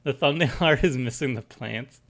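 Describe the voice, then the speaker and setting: A man's voice: mid-range voice, normal speed, a bit bright. A young man casually chatting on a live stream, rambling with lots of fillers and restarts, sounding a little stuffy. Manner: rambling, many fillers and restarts, reads chat aloud. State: stuffy from allergies. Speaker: streamer building a deck with chat.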